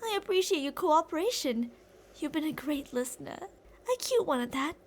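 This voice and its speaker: A woman's voice: high voice, lively intonation, moderate pace, slight background noise. A woman playing an obsessive, delusional young captor in an intimate, rambling ASMR roleplay monologue addressed to a captive. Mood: sweetly menacing.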